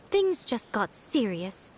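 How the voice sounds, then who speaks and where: A woman's voice: on the high side, big pitch swings, extremely slow, a little hiss or hum, exaggerated voice, poor audio. A woman voicing a sly, haughty yokai shrine maiden with a poised, teasing, imperious manner in an anime game. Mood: indignant. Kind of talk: anime video game voice acting. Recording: music behind the voice.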